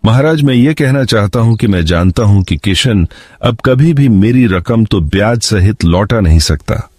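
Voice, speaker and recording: A man playing a scheming landlord in a cartoon moral story, speaking with measured, deferential persuasion. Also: fairly low pitch, lively intonation, darkish tone, dry recording, clean studio sound, faint music behind. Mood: sly, deferential. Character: scheming adult male landlord, deferential yet grasping.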